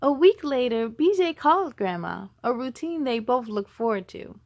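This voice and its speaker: A woman reading a children's storybook aloud as a storytime host, with expressive, emphatic delivery. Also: fairly high pitch, normal speed, no room sound, clean studio sound. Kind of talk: animated children's storybook narration.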